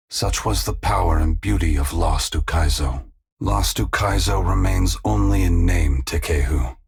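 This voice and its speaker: An actor playing a weary, compassionate god in a video game, speaking in a grave, measured, formal way with a gravelly, husky voice. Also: male voice, on the low side, exaggerated voice. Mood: wistful. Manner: slow, measured, philosophical, formal. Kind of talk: video game voice acting, dramatic dialogue.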